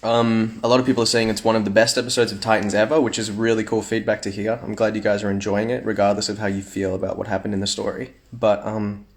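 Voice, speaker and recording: A man's voice: fairly low pitch, level tone, quick pace, hardly any pauses. A young man chatting casually on a phone livestream at home, reading fan comments aloud with playful asides.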